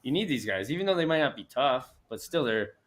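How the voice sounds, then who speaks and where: A man's voice: mid-range voice, lively intonation, brisk, fairly bright tone. A young Canadian man hosting a casual sports chat show, talking in loose rambling restarts with easy laughs.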